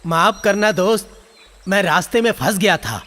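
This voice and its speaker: A man as a high-voiced, friendly cartoon crocodile. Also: normal speed, natural voice. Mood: apologetic. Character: hungry, polite male crocodile who loves fruit. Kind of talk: children's cartoon dubbing. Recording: loud music behind the voice.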